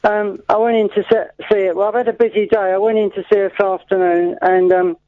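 Hesitant caller on a tinny phone line. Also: male voice, high voice, flat delivery, no room sound. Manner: halting, hesitant, worried. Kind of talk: phone-in call.

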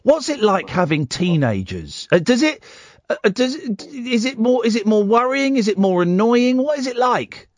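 A man's voice: extremely high pitch, sing-song, normal speed, no room sound. A man in his forties hosting a late-night radio phone-in, playful, self-deprecating and rambling. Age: 40s. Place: radio studio. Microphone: studio microphone.

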